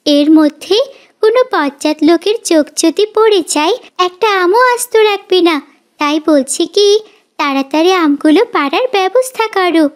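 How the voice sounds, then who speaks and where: Woman as a very high-pitched kindly girl. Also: lively intonation, moderate pace. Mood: urgent, insistent. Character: earnest, kindly young village girl.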